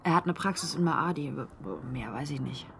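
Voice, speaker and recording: A woman's voice: deep voice, normal speed, a little hiss or hum. An actress playing a young woman who secretly passes on documents, in a radio drama. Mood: plain.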